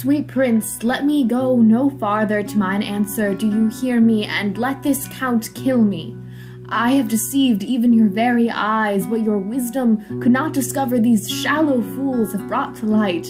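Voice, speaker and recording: A woman's voice: fairly high pitch, close to an everyday voice. Contrite villain over guitar music played by a woman. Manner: earnest, contrite, measured. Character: remorseful villain confessing, a man in the story. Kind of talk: Shakespeare radio play acting. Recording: plucked guitar music behind.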